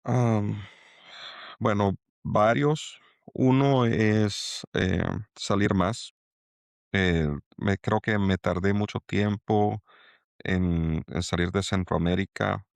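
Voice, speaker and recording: Low gravelly Salvadoran man, halting. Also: fairly even intonation, no room sound. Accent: Salvadoran Spanish. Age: middle-aged. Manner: halting, many restarts and fillers. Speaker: angel investor guest. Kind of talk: reflective interview talk.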